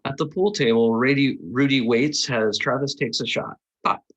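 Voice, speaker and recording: A man with a husky voice narrating a script's stage directions at a table read. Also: medium pitch, fairly even intonation, moderate pace, fairly bright tone, dull audio.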